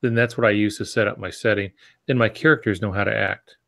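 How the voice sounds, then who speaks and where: A man in his sixties with a lowish voice, talking as a podcast co-host. He gives casual, reflective advice over a video call from his home office. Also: steady tone, fast talker, vocal fry, sharp tone. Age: 60s. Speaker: podcast co-host, experienced writer. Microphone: video call on earphones.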